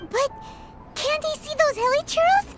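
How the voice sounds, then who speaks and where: A woman voicing a tiny, childlike female pixie companion in a game, chatty and easily indignant, with a squeaky, cartoonish delivery. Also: animated, unhurried. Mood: curious, concerned. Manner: squeaky, third-person childish talk. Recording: loud background music.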